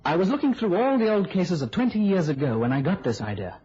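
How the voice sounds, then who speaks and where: Muffled old radio play: keen young reporter, breezy storyteller, very husky. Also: male voice, sits high, big pitch swings, fast talker, dark tone, exaggerated voice. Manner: chatty, storytelling, slightly theatrical. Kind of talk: mid-century radio drama acting.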